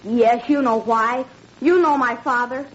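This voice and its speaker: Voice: medium pitch, measured pace, natural voice. An actor playing a stiff, defensive eleven-year-old boy, an indoctrinated and guarded German youth, in a 1940s radio drama. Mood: sullen, guarded. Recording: muffled, noisy old radio recording.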